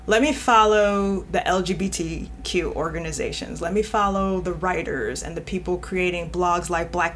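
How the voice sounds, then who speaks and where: Direct woman from New York, guest on a casual podcast, quick laughs, light hiss behind. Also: on the low side, a bit bright. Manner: direct, laughs often while talking. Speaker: guest editor.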